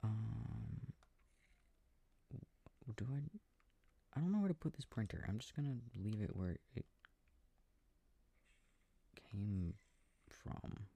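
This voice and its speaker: Affectionate boyfriend, played by a man, for sleep-aid ASMR roleplay: breathy, gravelly, soft rambling murmur, very close and dry. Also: fairly low pitch, very animated, takes it slowly, long pauses, no room sound, heavily acted. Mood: absorbed, mildly puzzled. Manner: murmured, rambling, gently bemused. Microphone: close microphone.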